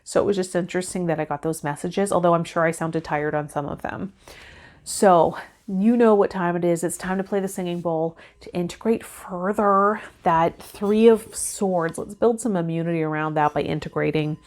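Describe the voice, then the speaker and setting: A woman's voice: fairly low pitch, normal speed, a little mellow. A woman in her thirties talking reflectively and unhurriedly to camera as a tarot video host. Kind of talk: casual reflective vlog talk to camera, partly reading card meanings aloud. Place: indoors, small home filming set with fabric backdrop.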